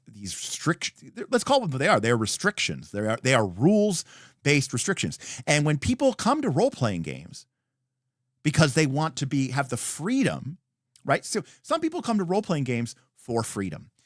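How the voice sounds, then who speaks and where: A man's voice: medium pitch, no echo, clean studio sound. A man hosting a livestream, chatting casually and unscripted, thinking aloud in a rambling, emphatic, opinionated way as he responds to viewers. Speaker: livestream host. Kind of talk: reading and answering viewer comments.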